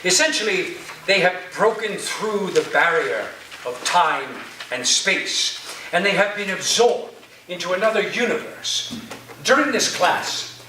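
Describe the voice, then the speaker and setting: A man acts out an eccentric literature professor in a staged reading, grand and teasing. The high voice moves slowly and deliberately, with theatrical pauses. It carries from a distance in a roomy space. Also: pushed and bright, slight background noise, full character voice. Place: room, live staged reading, recorded from afar.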